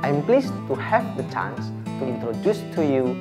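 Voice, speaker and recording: A woman speaking formal, scripted English introduction lines in a deliberate way. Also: a bass voice, very animated, over loud music. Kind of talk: scripted role-play dialogue for language practice.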